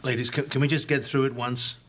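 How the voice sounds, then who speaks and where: An actor playing a harried film director at a table read in a TV drama, placating and hesitant. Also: male voice, mid-range voice, flat delivery, a little fast, fairly bright tone, lightly acted. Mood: exasperated, placating. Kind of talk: television drama acting.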